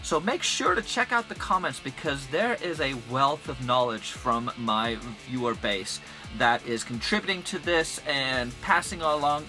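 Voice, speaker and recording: A man's voice: on the high side, bright, forceful tone. A man hosting an informal instructional video, speaking emphatically with playful turns of phrase. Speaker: host of a gear and vehicle channel.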